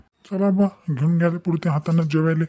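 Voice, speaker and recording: A man's voice: fairly high pitch, steady tone, fairly quick, warm tone, no echo, natural voice. A man playing a put-upon village husband in a comic cartoon, grumbling plaintively in a rural Varhadi accent from Maharashtra.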